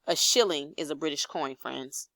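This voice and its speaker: A woman reading a children's story aloud as expressive scripted narration. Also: deep voice, normal speed, no room sound. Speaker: storybook reader.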